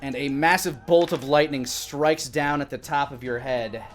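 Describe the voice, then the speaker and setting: A man's voice: a little high, moderate pace. Male game master narrating over music. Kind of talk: improvised narration of a live tabletop game.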